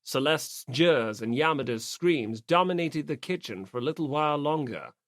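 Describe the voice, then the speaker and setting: A woman's voice: low voice, animated, moderate pace, no room sound, studio quality. A woman reading scripted story narration as an audiobook narrator.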